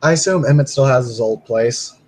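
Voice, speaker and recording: A man's voice: mid-range voice, brisk, darkish tone. A man casually narrating his character in a tabletop roleplay, rambling with many fillers. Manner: rambling, filler-heavy, laughs while talking.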